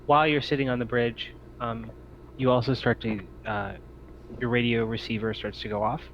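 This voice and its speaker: A man's voice: medium pitch, fairly even intonation, normal speed, vocal fry. An easygoing man narrating a tabletop roleplaying game as game master in a casual, conversational way. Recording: slightly dull audio, faint background noise.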